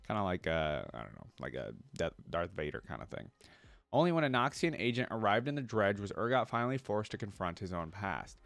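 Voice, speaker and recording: A man's voice: lowish voice, very animated, gravelly, a bit bright, dry recording. A man making a reaction video, switching between reading lore aloud and informal, musing commentary. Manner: informal, pausing to explain and muse.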